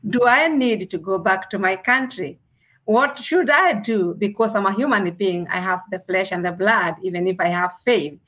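A woman from Kenya with a Kenyan accent, a registered nurse answering interview questions earnestly, with measured pauses. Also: medium pitch, fairly bright tone.

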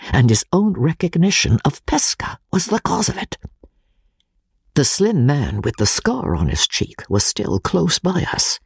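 Casting: woman audiobook narrator, low-to-mid voice, husky and creaky, very animated, theatrical suspense. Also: dry recording, clean studio sound. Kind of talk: dramatic scripted narration of classic fiction.

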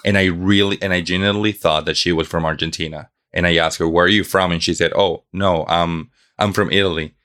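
A man's voice: low voice, fairly even intonation, fairly quick, few pauses, fairly bright tone. A man from Bolivia whose first language is Spanish, answering earnestly as a guest in a conversational interview in English.

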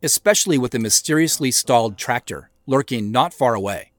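An American man giving measured, thoughtful director's commentary on an audio drama he created, in a reflective scripted style. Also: mid-range voice, expressive, no echo. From United States, Pacific Northwest.

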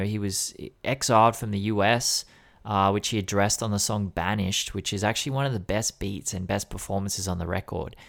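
A man talking through an album on a podcast, earnest and analytical. His voice sits fairly low. He sounds enthusiastic, with a few fillers along the way. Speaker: podcast co-host. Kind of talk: album review discussion.

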